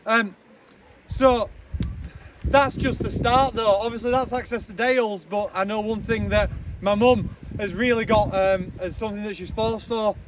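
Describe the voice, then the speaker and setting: A young man giving a loud, chatty speech outdoors at a charity launch, with many ums and joking asides. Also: high voice, steady tone, normal speed. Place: outdoors in the snow with a crowd. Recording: noisy outdoor recording.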